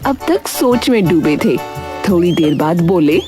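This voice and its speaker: Woman narrator, animated intonation, scripted comic storytelling, music underneath. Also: lowish voice, measured pace.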